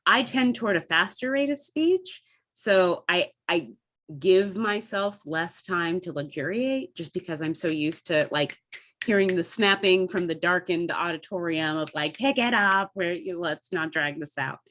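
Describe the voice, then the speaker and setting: A woman speaking casually as a panel guest, telling things in an animated, conversational way. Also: fairly low pitch, a little slow, a little hiss or hum. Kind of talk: casual chat.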